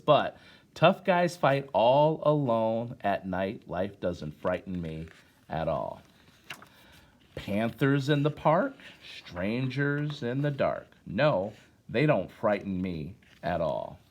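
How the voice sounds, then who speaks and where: American man leading children's story time. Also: on the low side. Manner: conversational, addresses children with questions and encouragement. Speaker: guest storyteller, former teacher. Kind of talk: children's story time, reading a poem aloud with asides.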